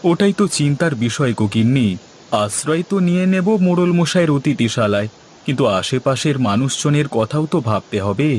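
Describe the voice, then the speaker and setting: A man's voice: on the high side, expressive, fairly quick, darkish tone, slight background noise, lightly acted. A man voicing a caring village market trader in a cartoon, speaking dialogue in an earnest, folksy, advising manner over music. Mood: worried. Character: caring village market trader, husband, elder-brother figure.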